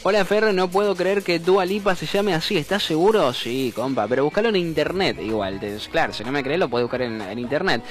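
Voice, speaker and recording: A playful Argentine radio host with a fairly high voice reads out listener messages and laughs easily between them. Soft music plays behind him. He keeps up a loose, joking chat and counts down the chart. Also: fairly quick, few pauses. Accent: Argentine Spanish.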